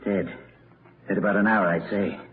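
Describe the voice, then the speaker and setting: An actor playing a gruff older American police inspector and father in a 1930s radio mystery, terse and clipped. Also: on the low side, fairly even intonation, moderate pace, close to an everyday voice. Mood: grim, puzzled. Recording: narrow-band vintage radio broadcast.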